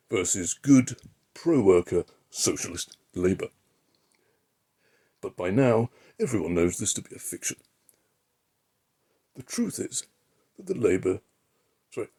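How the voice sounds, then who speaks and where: A man with a lowish, rough-edged voice reads a political essay aloud, putting on the air of a pompous pundit. His phrasing is deliberate, with weighty pauses for emphasis. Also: a little mellow. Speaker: amateur reader of a political article. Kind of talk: reading an opinion essay aloud in an impression of a pundit.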